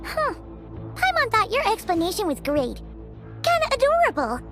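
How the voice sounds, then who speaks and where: A tiny fairy companion with an extremely high, squeaky childlike voice that bounces widely. She is curious and blunt in an anime game, with music playing clearly behind her. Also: wildly swooping pitch, moderate pace, exaggerated voice. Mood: curious, teasing.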